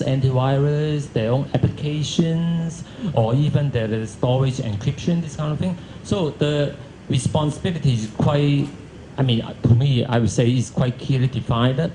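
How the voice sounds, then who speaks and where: A man answering as a panelist, constantly padding his sentences with a verbal tic. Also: mid-range voice. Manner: habitually inserts "I mean". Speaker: panelist from a cloud security association. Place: multi-purpose function room at a conference. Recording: noisy live conference room recording.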